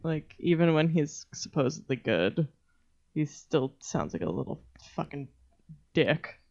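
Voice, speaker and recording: Casting: female podcast co-host, relaxed joking conversation, a little muffled on the recording. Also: low-pitched, unhurried, vocal fry. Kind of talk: casual joking discussion.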